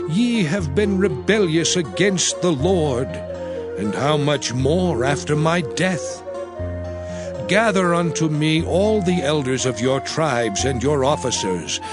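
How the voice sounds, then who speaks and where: A man playing an earnest, commanding prophet and leader, exhorting in a slow, declamatory way in a dramatized scripture reading. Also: a little high. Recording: music under the voice.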